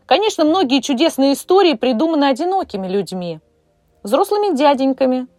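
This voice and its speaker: A woman's voice: a little high, no echo. A woman in her forties hosting a podcast, speaking in a friendly way straight to her listeners and drawing out the words she wants to stress. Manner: expressive, lingering on key words. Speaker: podcast host, children's author. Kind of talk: friendly direct address to listeners.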